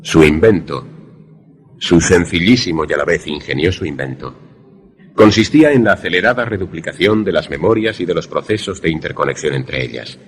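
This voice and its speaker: A man's voice: low-pitched, expressive, a little fast. A man playing a brooding, lovelorn former student who narrates a suspense radio drama in a grave, literary manner. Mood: grave, reflective.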